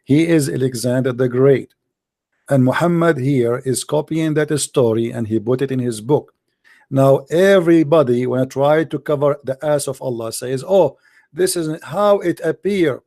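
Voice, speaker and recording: A man whose first language is Arabic, hosting a call-in debate, arguing insistently and slowing down to read scripture aloud. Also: a little high, mellow, no echo, studio quality. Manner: insistent, argumentative, repeats "no, no". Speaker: host.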